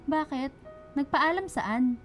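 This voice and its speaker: A woman's voice: high-pitched, slow, a bit bright. A Filipino woman playing a worried, caring middle-aged single mother in a radio drama. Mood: warm. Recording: music behind the dialogue.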